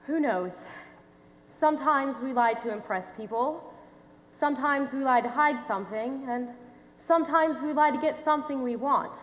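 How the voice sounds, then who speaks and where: Echoey stage, young woman playing earnest exasperated scientist, high-pitched, lively, firm. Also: normal speed, a little hiss or hum, lightly acted. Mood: patient, firm. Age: young adult. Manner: firm, explanatory. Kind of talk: amateur stage play acting. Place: school theatre stage. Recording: echoey theatre hall.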